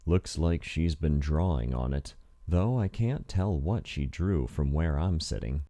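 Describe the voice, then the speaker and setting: A man's voice: a bass voice, expressive, unhurried, mellow. A man reading scripted first-person narration for a visual novel in an introspective, wry manner. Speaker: narrator and voice actor.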